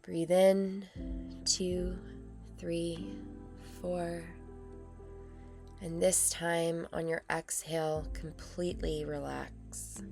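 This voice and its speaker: Woman, meditation guide, low pitch, calm and soothing, long pauses, clear background music.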